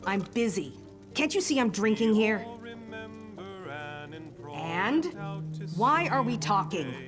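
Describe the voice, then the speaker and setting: An actress playing a gruff orc woman agent in a fantasy game, speaking bluntly and curtly with wry edges. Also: medium pitch, very animated, slow. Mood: irritated. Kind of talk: video game voice acting. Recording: loud music under the voice.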